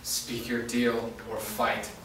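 A man playing a grim, defiant young male fighter, giving terse, flat replies. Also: medium pitch, takes it slowly, background noise, lightly acted. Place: large studio room with actors in a circle. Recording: roomy echo from far off.

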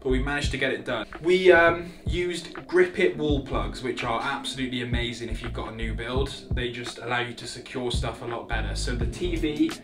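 A man's voice: mid-range voice, steady tone, brisk, hardly any pauses, far from the mic. A young man, chatting jokingly while showing viewers around his house. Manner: jokey, chatty, teasing. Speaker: vlogger giving a house tour. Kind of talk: casual house tour chat. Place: small carpeted house, indoors. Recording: echoey room, slightly off-mic, music under.

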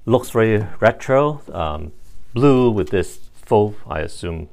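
Male reviewer, lower-pitched, casual and unscripted, halting as he thinks aloud. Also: lively intonation, creaky, a little mellow. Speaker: product reviewer. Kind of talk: casual hands-on demonstration, unscripted.

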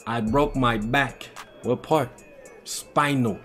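A young American man hosts a casual gaming reaction. He talks in slangy, repetitive hype at an average male pitch, close to the mic. Music plays clearly behind him. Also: fairly even intonation, slow. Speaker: YouTube gaming reaction host. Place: indoor gaming room. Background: music and game audio.

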